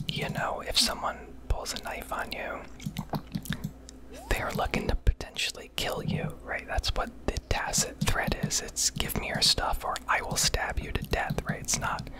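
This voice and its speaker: An American woman rambling candidly and casually in a breathy ASMR whisper, swearing now and then, recorded on a close microphone. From United States. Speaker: ASMR video creator.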